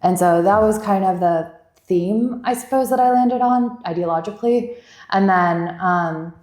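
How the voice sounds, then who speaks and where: A woman's voice: medium pitch, a little slow, a little mellow. A woman in her thirties, originally from Bulgaria, talks as a guest on an interview podcast, chatty and rambling with lots of "like". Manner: chatty, rambling, many "like" fillers. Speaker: venture investor guest. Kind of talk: casual interview chat. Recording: echoey room.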